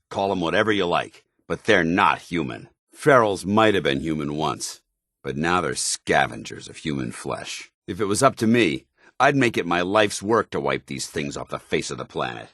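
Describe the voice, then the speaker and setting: An actor playing a stern, zealous soldier companion in a video game, with an American accent and a formal, earnest military delivery. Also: male voice, deep voice, fairly bright tone, dry recording, studio quality. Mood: grim.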